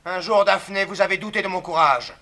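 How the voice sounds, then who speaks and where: An actor playing a proud young gentleman, declamatory and defiant, in a French radio drama. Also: high voice, fairly quick. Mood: defiant, daring. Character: brave, proud young gentleman out to prove his courage.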